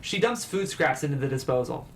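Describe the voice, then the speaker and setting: A man's voice: medium pitch, expressive, brisk. A man reading scripted stage directions as the narrator of a table read. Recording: clear background noise.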